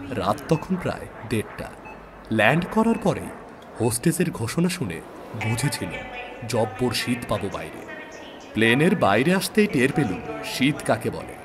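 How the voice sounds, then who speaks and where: A man narrating a suspense story in the first person, with measured, dramatic delivery and deliberate pauses. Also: mid-range voice. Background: background music.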